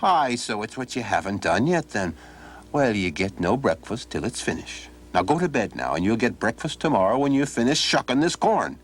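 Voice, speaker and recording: A man voicing a crafty old gentleman who hires farmhands, speaking in a drawn-out, wheedling folksy way. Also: medium pitch, sing-song, husky, voice pushed up. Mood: teasing. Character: sly old man employer, crafty bargainer.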